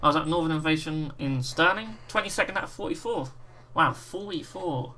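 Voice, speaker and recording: A British man with a croaky voice hosting a livestream, chatting casually and reading out viewer messages while painting miniatures. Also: a little high, a little fast, a little hiss or hum. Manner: relaxed, reads out chat messages. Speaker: livestream host.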